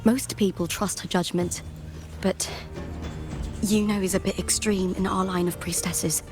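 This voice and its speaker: A woman's voice: medium pitch, fairly even intonation, unhurried, music in the background, natural voice. An actress playing a frank young woman in a video game, explaining things matter-of-factly.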